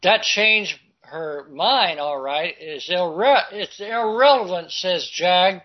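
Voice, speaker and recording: A man reading news articles aloud and commenting on them, halting and stumbling over words as he goes. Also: high-pitched, animated, muffled recording. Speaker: political commentary host.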